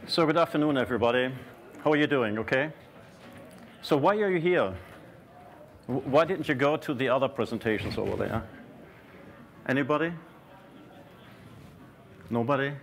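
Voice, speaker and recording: A man's voice: medium pitch, lively intonation, normal speed, pauses often. A man in his fifties giving a conference talk on a large stage, chatty, quizzing the audience, with restarts and fillers. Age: 50s. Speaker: conference presenter. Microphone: stage mic, far camera.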